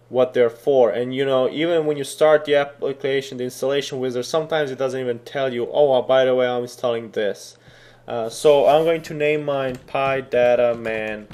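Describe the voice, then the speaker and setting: Male instructor, native Serbian speaker, casual screen-recorded tutorial, mid-pitched, chatty and explanatory. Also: a little mellow. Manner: casual, explanatory, thinking aloud with fillers.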